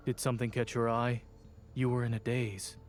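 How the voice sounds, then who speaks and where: A man voicing a composed, coolly caring young doctor in a scripted game drama, measured and understated. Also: mid-range voice, level tone, slight background noise, close to an everyday voice. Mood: calm concern.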